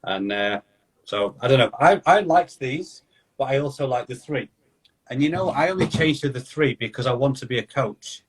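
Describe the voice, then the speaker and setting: A man hosting a casual podcast interview, chatty and fast in bursts, with lots of restarts and "you know". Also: medium pitch, expressive, husky, rough recording. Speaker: podcast host and skating coach.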